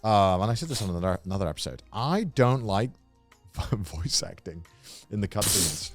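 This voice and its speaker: A man's voice: fairly low pitch, expressive, moderate pace, faint music behind. A man co-hosting a podcast, explaining his opinions in casual, slangy chat. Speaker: podcast co-host; voice actor.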